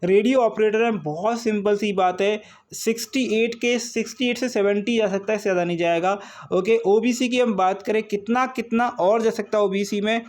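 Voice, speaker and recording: A man's voice: high-pitched, fairly even intonation, normal speed, a little mellow. A man giving an informal exam-prep lesson, repeating points and checking in with short tags and rhetorical questions. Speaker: exam coaching teacher.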